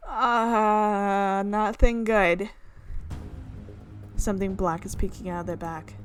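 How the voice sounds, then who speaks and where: A woman hosting a gaming video, reading a visual novel aloud in an expressive way with exclaimed reactions. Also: medium pitch, takes it slowly, clean tone, soft background music.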